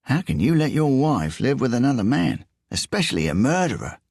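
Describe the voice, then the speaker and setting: A man's voice: medium pitch, sing-song, fairly quick, creaky, a little mellow, no room sound, studio quality, voice pushed up. A man voicing a nosy, nervous burglar with an English accent and a whiny tone. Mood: prying. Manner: whiny, questioning.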